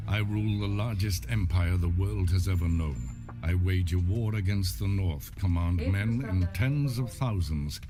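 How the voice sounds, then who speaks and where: A man playing a low-voiced, imperious emperor. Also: lightly acted. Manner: formal, commanding, curt. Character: imperious cold emperor, haughty middle-aged father. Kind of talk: video game cutscene acting.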